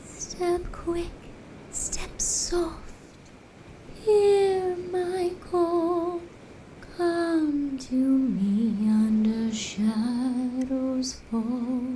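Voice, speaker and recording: Casting: an eerie undead seductress, very slow and high-pitched, chanting radio-play delivery, faint background noise. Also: female voice, warm tone, rough recording. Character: undead vampire woman luring prey, eerie, seductive. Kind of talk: audio drama acting.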